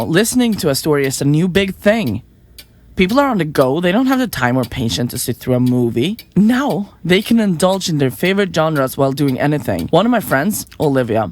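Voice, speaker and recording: A woman playing a flippant, self-important young murderer in an audio drama, glib and quick to get defensive. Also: low-pitched. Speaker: murderous podcaster in a therapy session. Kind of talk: audio drama acting, conversational.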